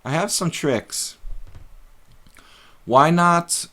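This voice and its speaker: A man's voice: a little high, very slow, a bit bright. A middle-aged man casually commentating his own chess games on a live stream, thinking aloud with many fillers.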